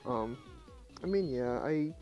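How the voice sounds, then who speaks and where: A young man with a low voice, talking quietly and casually to his chat at night while he plays a game. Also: expressive, slow pace. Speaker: streamer. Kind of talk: casual live game commentary. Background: faint game music.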